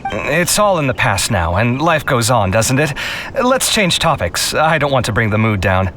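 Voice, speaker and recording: A man voicing a confident but easily flustered young scholar in a video game, with a theatrical, expressive delivery. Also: medium pitch, normal speed, slight background noise. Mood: earnest, subdued. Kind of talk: scripted video game character acting.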